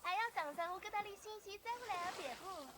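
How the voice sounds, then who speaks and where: Shrill Wu-accented village woman. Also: fast talker, crowd noise. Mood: inviting. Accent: Wu Chinese. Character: friendly, flirtatious village woman on the river. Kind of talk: animated drama voice acting. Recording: loud outdoor ambience behind.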